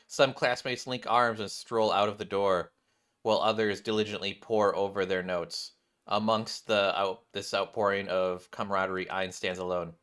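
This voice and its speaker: A young man doing casual game commentary, reading on-screen text aloud and thinking out loud. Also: low voice. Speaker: gaming streamer.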